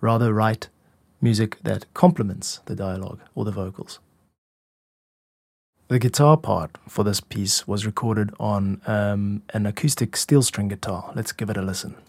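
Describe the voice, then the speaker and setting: A man's voice: fairly low pitch, moderate pace, a little mellow, no echo. A man giving an informal, instructive tutorial as a music producer and podcast host. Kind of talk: explanatory tutorial narration.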